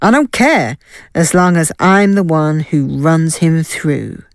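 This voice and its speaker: A woman's voice: sits low, expressive, slow pace, no echo, studio quality, heavily acted. A woman playing a fierce, defiant fighter with a blunt, combative way of talking.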